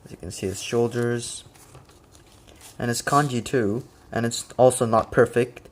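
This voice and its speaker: A man's voice: medium pitch, steady tone, unhurried, slight background noise. A man casually explaining his custom figure work step by step, with occasional fillers. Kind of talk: casual product walkthrough.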